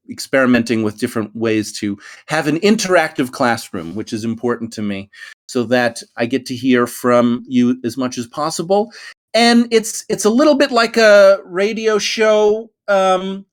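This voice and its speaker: A man's voice: a little high, smooth. Middle-aged New York man, English teacher hosting a voice-chat class; friendly, meandering, lots of ums and restarts. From United States. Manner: friendly, rambling with many fillers and restarts. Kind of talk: hosting an online conversation class. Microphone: online voice chat.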